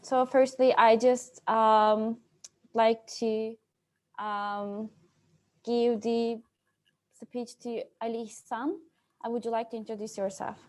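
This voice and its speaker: A woman's voice: a little high, little pitch movement, slow pace. A young woman from Turkey moderating an online breakout session, hesitant, with many fillers and restarts. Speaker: youth moderator. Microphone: video call.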